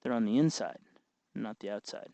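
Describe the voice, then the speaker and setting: A man hosting a hobby tutorial, explaining each step in an informal, folksy, unhurried way. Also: fairly low pitch, creaky, mellow, no room sound. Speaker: host of a hobby electronics tutorial. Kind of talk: informal hands-on tutorial narration.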